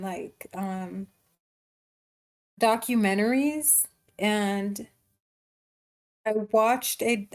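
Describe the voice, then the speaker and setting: Woman screenwriter chatting in an online meeting, kinda halting, feels her way through. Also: mid-range voice. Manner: hesitant, informal, halting explanations. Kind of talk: casual discussion in online table read.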